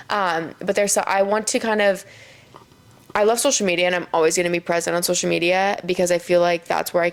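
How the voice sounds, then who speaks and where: A woman's voice: lowish voice, vocal fry. A woman in her twenties hosting a podcast, chatting casually and saying "like" a lot. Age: 20s. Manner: chatty, heavy use of "like". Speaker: podcast host. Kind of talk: casual chat.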